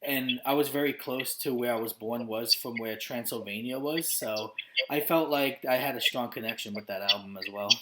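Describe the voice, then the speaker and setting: A man's voice: medium pitch, moderate pace, pushed and bright, slight background noise. A man from Romania chatting casually as interviewer, stammering and hesitant with lots of fillers. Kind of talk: casual chat.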